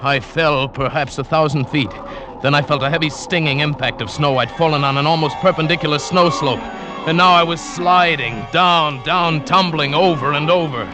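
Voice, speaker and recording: An actor narrating as a climber who survived a great fall, telling it dramatically in first person in an old radio drama, with music behind him. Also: male voice, a little high, normal speed, lightly acted. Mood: tense. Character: adult male climber recounting his fall. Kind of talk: 1940s radio acting.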